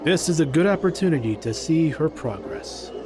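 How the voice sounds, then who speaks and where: A man's voice: on the high side, expressive, over music, natural voice. A man playing a composed, courteous, confident martial artist mentor in an audio drama. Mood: calm, approving. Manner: measured, approving.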